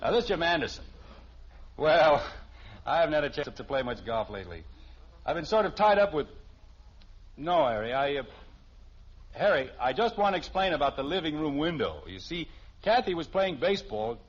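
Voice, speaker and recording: A man's voice: fairly high pitch, big pitch swings, moderate pace, pauses often, husky. An actor playing a weary, sarcastic middle-aged suburban father in a 1950s radio sitcom, sputtering when flustered, on a muffled old recording.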